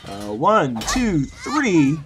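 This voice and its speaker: A man's voice: on the high side, huge pitch swings, very slow. A man hosting a let's play, thinking aloud in a casual, self-deprecating way. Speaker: gaming video host. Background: game music and effects.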